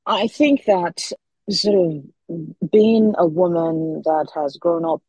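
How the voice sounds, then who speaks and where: Nigerian lady author, breathy a bit, lowish voice, keeps repeating words while she thinks. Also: slow, a little mellow, no echo, poor audio. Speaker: author guest. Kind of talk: interview answers.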